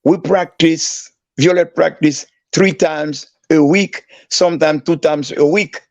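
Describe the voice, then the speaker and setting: A man's voice: slow, no echo. A man from Haiti with a Haitian accent, a guest telling stories animatedly, acting out quoted dialogue. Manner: animated storyteller, acts out quoted dialogue with raised pitch. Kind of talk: casual storytelling. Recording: dry, dull lo-fi.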